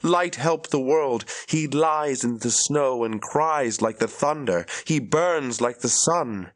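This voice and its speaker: A man voicing an old seer woman who speaks a prophecy as she dies, in a high, urgent voice. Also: slow pace, dry recording, close to an everyday voice. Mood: rapt. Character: old Aes Sedai seer woman prophesying while dying.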